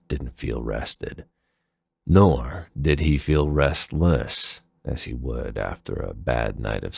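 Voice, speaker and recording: Man, extremely low voice, slow pace, measured story narration, deliberate phrasing. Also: vocal fry, slightly rough, no echo, clean studio sound. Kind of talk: dramatic scripted fan fiction narration.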